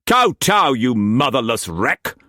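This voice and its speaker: A man's voice: medium pitch, very animated, takes it slowly, pushed and bright, no room sound, studio quality, pitched above the performer's own voice, exaggerated voice. A man voicing a furious, domineering master who barks harsh commands at his household. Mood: raging, bellowing.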